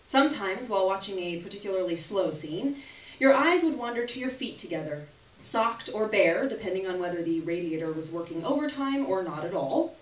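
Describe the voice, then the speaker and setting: A young woman gives an expressive, theatrical reading of a literary short story at a live event, with deliberate phrasing and dramatic pauses. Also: medium pitch. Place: small indoor gallery reading event. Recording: roomy live venue, distant camera microphone.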